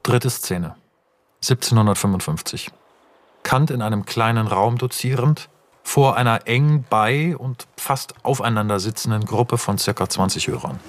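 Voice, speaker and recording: A man's voice: mid-range voice, unhurried, close to an everyday voice. An actor as a male narrator reading screenplay directions in a radio drama, factual and clipped in his delivery. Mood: matter-of-fact. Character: matter-of-fact male narrator announcing film-script scenes.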